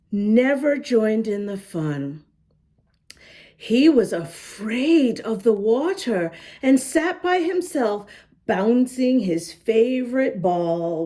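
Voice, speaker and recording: Female children's story reader, slow and expressive, gentle mellow tone, talks directly to small listeners. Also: medium pitch. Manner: expressive, sing-song, addressing small children. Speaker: children's story-time host reading a picture book. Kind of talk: children's read-aloud with asides to young listeners.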